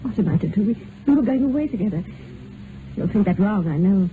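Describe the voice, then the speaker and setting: An actress plays a distraught wife fleeing her marriage in an old radio melodrama. Her pleading, halting voice moves widely in pitch through a thin, faintly noisy broadcast. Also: expressive, narrow, tinny audio, lightly acted. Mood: desperate, pleading. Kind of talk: vintage radio drama acting.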